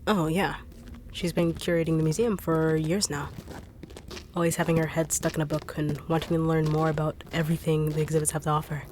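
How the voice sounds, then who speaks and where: A no-nonsense, teasing bodyguard, voiced by a woman with a low voice. She talks dryly and bluntly in an audio roleplay. Faint hiss sits behind her. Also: flat delivery, fairly quick, darkish tone, natural voice. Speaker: voice actor.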